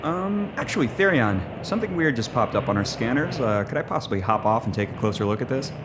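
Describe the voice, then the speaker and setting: A man's voice: mid-range voice, lively intonation, quick pace, close to an everyday voice. A man playing a deferential, hesitant lone spaceship captain in a sci-fi audio comedy. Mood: deferential, awkward. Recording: clearly noisy spacecraft ambience.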